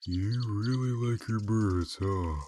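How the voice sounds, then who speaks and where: A man's voice: on the low side, slow pace, mellow, slight background noise. A man playing a laid-back, wry, pun-loving skeleton reaper in a comic dub, with a dry, drawling delivery. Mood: wry, teasing. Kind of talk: fan comic dub voice acting.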